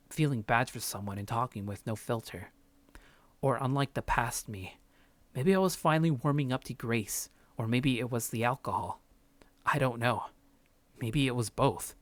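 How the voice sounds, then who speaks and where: A man's voice: mid-range voice, no room sound. A man reading visual novel narration aloud as a let's play streamer, casual and sometimes stumbling over the text. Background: game music.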